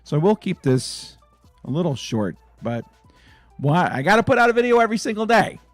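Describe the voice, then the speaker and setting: A hoarse man hosting a sports fan channel, chatting casually and excitedly about his team's week. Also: sits high, brisk, dry recording, soft background music. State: hoarse, raspy voice. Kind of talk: casual fan vlog chat.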